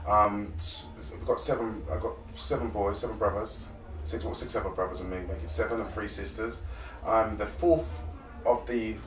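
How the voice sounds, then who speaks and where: A man's voice: fairly low pitch, steady tone, moderate pace, background noise. Male interview guest, London accent, colloquial and emphatic, roomy sound with the mic at a distance. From North London, born in Trinidad and Tobago. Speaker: interview guest, conflict engagement specialist and mediator. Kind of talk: personal storytelling in an interview. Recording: echoey room, distant mic.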